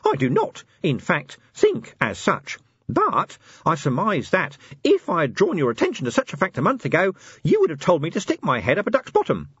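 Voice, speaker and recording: A man voicing a tiny, eager, pedantic male imp in a very high, prim voice. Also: wildly swooping pitch, moderate pace, fairly bright tone, breathy edge, no echo, dull audio, full character voice. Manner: prim, fussy, very high-pitched.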